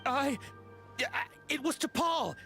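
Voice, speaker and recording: A man's voice: very high-pitched, lively intonation, slow pace, vocal fry, sharp tone, soft background music, exaggerated voice. An actor playing a nervous, cowardly henchman in a video game, stammering, hesitant and eager to appease. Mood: panicked pleading. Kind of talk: English video game voice acting.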